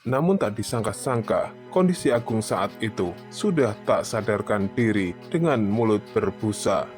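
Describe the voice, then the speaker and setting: A man's voice: lowish voice, expressive, normal speed, darkish tone, faint music behind. A man reading scripted narration for a story.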